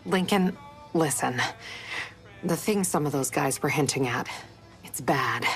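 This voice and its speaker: Serious female informant, distinctly low voice, urgent briefing tone, faint music behind, game drama. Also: measured pace. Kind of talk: dramatic game cutscene acting.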